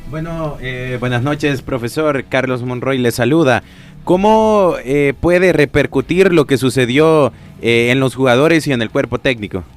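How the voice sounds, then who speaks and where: A man's voice: mid-range voice, a little slow. Courteous man over faint music. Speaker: interviewer. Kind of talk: formal sports interview question.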